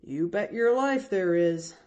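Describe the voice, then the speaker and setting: A woman's voice: on the low side, expressive, takes it slowly, darkish tone. Woman doing a wry, teasing man.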